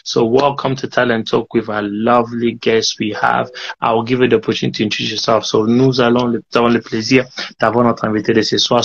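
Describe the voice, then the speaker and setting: A man's voice: mid-range voice, a bit bright, slight background noise. Male host, casual and upbeat, bilingual patter; muffled lo-fi recording. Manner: upbeat, switches between English and French. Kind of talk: casual interview hosting. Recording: dull lo-fi sound.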